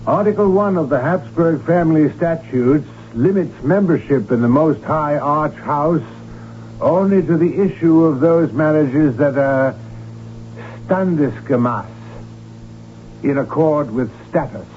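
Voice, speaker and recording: An actor playing a stiff, pedantic imperial chamberlain in a radio drama, formal, precise and officious. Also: male voice, fairly high pitch, measured pace, natural voice. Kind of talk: 1970s radio drama acting. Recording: tinny, noisy old radio recording.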